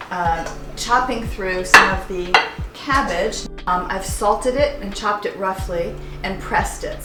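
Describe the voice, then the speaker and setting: A middle-aged American woman talking informally and explaining things as a home cook presenting a cooking demonstration. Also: mid-range voice. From United States, partly Hawaii. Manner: conversational, explanatory. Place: indoors, roomy kitchen. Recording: echoey room with music behind.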